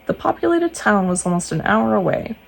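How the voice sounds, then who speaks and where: A woman reading true ghost stories aloud, breaking in now and then with jokey, animated asides. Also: medium pitch, fairly quick, gravelly, slight background noise, low-quality recording. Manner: animated, jokey asides between read passages.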